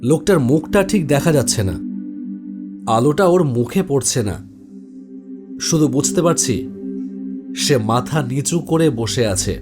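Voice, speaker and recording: A man's voice: medium pitch, expressive, unhurried, pauses often. Man narrating horror over music. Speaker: narrator telling a ghost story in first person. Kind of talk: scripted horror story narration with acted dialogue.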